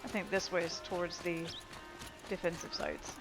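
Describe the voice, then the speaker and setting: A woman's voice: fairly low pitch, little pitch movement, moderate pace. Woman gamer commentating over game music. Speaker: let's play gamer. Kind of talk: casual gameplay commentary.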